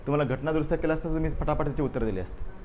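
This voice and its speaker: A man's voice: a little high, very fast, dark tone, a little hiss or hum. A man teaching an exam review class, repeating answers and quizzing his students. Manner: drills answers through repetition and questions.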